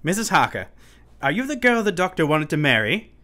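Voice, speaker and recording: An actor playing an unsettled, pleading asylum inmate in a radio play, speaking formally and urgently. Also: male voice, a little high, sing-song, fairly quick, sharp tone. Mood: courtly, sly. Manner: formal, urgent, given to sudden chuckles.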